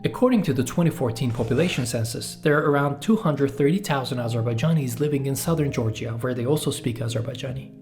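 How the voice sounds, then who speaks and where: Turkish-accented man narrating over music. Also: medium pitch. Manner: measured, steady, list-reading delivery. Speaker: narrator of a language explainer. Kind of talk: scripted narration. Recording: music bed under the voice.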